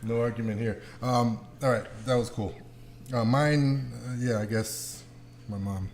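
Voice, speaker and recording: Male podcast host, mid-pitched, casual and joking, slangy and profane, in light room noise. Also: fairly even intonation, measured pace, a little mellow. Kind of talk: casual, joking group chat. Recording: noisy room ambience.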